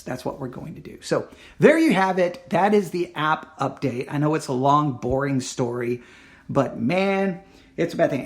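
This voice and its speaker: Solo podcast host, male, fairly high pitch, hoarse, pleading, prone to restarts. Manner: rambling, self-correcting, repeats himself; earnest, pleading with listeners. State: losing his voice. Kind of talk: casual solo podcast update.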